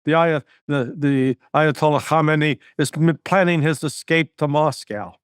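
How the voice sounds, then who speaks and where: A man's voice: a little high, moderate pace, smooth, dry recording. Older male host, rambling, dry sound. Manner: rambling, stumbling restarts. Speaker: show host. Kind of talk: casual interview hosting.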